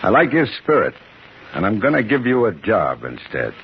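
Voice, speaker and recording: Tough gangland boss, slangy, commanding, swinging intonation, muffled old radio recording. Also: male voice, on the low side, huge pitch swings, moderate pace, slight background noise. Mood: gruff, commanding. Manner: slangy, bossy tough-guy talk. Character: tough, blustering, stocky big-shot New York mob boss. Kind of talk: 1950s radio drama acting.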